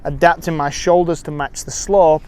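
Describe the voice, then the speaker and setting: Clear, higher-voiced male golf instructor. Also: steady tone, moderate pace, darkish tone. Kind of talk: instructional demonstration, explaining step by step. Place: outdoors on a golf course.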